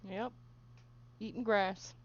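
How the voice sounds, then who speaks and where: Woman developer streaming, casual and chatty, quick to giggle, mid pitch, slightly muffled audio. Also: steady tone. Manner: chatty and giggly, talks to her dog. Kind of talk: casual livestream chat while working.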